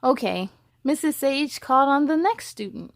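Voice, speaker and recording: A woman's voice: high voice, expressive, moderate pace. A woman reading a children's storybook aloud as the host, with casual asides.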